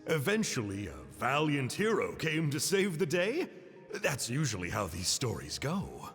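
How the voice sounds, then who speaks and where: Casting: glib game trickster uncle, unhurried, hugely animated, folksy and theatrical. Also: male voice, mid-range voice, long reverb tail, soft background music, full character voice. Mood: mock amazement. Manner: theatrical, mock-earnest, folksy.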